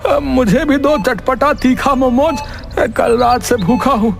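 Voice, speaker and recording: A man playing a scheming, vengeful village crook and cheeky sidekick in a cartoon story, whiny and excitable with a rustic way of talking. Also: extremely high pitch, measured pace, vocal fry, soft background music, full character voice. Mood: scheming, eager. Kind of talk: cartoon moral-story acting.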